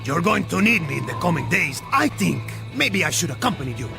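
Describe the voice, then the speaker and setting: An actor playing a wry, exasperated Spanish mercenary in a video game, with a Spanish accent and a sardonic manner. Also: male voice, fairly high pitch, a little fast, creaky, exaggerated voice. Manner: sardonic, animated, peppers talk with Spanish words. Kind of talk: video game cutscene acting. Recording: loud music behind the voice.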